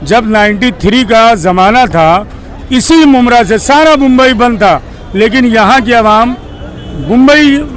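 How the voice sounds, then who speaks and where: Man, news reporter, high pitch, formal courteous delivery, faint crowd behind. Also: takes it slowly, a bit bright. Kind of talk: street interview and sign-off.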